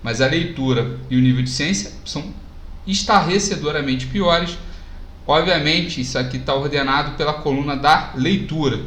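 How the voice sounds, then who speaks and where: Male teacher from Brazil, lively casual explainer, slightly high voice, echoey home room. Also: normal speed, slight background noise. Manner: casual, animated explaining, pointing at a chart. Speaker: economics teacher. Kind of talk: lecture to camera over charts.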